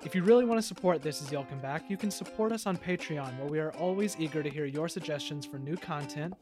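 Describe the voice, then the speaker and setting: A man's voice: on the high side, fast talker, music in the background. A man reading scripted podcast credits and sign-off as the show's announcer.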